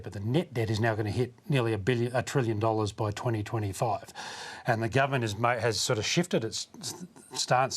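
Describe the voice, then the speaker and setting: A man with an Australian accent giving unscripted political analysis on the news, conversational with halting restarts. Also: medium pitch.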